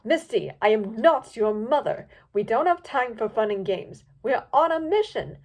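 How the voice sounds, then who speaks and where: A woman's voice: on the high side, lively intonation, moderate pace, darkish tone. A woman voicing a stern, haughty female pony sorceress who is easily exasperated. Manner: imperious, exasperated, clipped.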